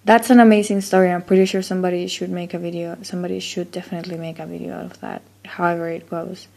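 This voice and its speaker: A woman's voice: on the low side, flat delivery, quick pace, vocal fry, darkish tone. A woman from Chile, a native Spanish speaker with a Chilean accent, reads a word list casually and a bit unsure, trailing off with fillers. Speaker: accent-tag vlogger.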